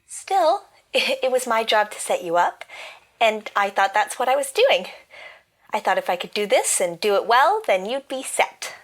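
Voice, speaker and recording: A woman's voice: fairly high pitch, sing-song, measured pace, a bit bright. A woman playing an earnest, apologetic but plucky young girl in an audio drama.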